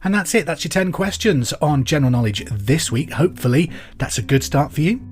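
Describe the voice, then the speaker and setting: A man presenting a podcast pub quiz in a scripted, clear and deliberate way. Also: a little high, animated, soft background music. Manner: repeats questions clearly and deliberately.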